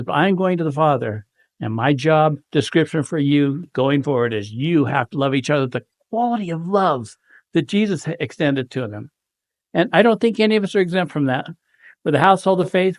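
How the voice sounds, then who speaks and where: A man's voice: a little high, dry recording. A man speaking earnestly on a devotional panel, quoting scripture with growing emphasis. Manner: earnest, emphatic, quotes scripture with rising fervor. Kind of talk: informal devotional talk.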